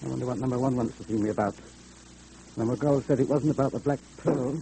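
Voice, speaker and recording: An actor playing a nervous, cowardly crook who stammers anxiously in an old-time radio drama. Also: male voice, medium pitch, quick pace, gravelly, close to an everyday voice. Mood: uneasy. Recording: noisy old radio recording, hum.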